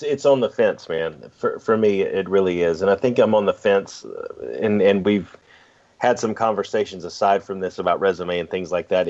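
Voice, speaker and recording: A man's voice: sits low, darkish tone. A man giving his casual opinion as a panelist on a sports talk show. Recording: dull, narrow-band lo-fi sound.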